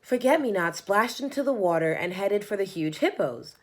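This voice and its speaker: Expressive woman storyteller, lively pitch. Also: normal speed. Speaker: storybook reader. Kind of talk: scripted children's story narration.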